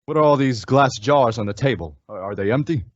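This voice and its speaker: An actor playing a calm masked cowboy hero, speaking in a measured, reassuring way in an old-time western radio drama. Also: male voice, medium pitch, no echo, highs cut off, natural voice. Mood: curious.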